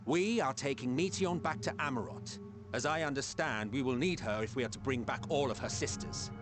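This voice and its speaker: A man's voice: mid-range voice, expressive, brisk, lightly acted. A man playing a haughty ancient official in a video game, speaking formally in a pointed, imperious and sardonic manner. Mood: imperious, stern. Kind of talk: video game cutscene acting, theatrical formal speech. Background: music.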